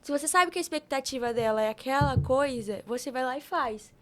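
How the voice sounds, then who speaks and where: A young woman talks in a high voice, as a guest in a casual conversation. She is earnest and colloquial, with a motivational bent, and often repeats phrases to drive a point home. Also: a little fast, a bit bright. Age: young adult. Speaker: podcast guest.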